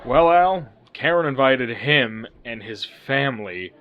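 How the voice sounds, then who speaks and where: A man's voice: mid-range voice, lively intonation, a little slow, natural voice. A man playing a glum, nervous suburban family man in a radio sitcom, on dull lo-fi audio. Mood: glum, worried.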